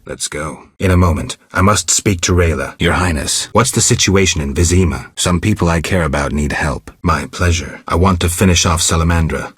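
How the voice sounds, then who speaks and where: A world-weary swordsman who hunts monsters in a fantasy video game. His voice is extremely deep and heavily rasped, recorded close and dry. He speaks in short, understated, deadpan phrases, gruff and stoic. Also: dry recording, full character voice. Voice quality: very deep, gravelly, husky rasp. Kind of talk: video game dialogue acting.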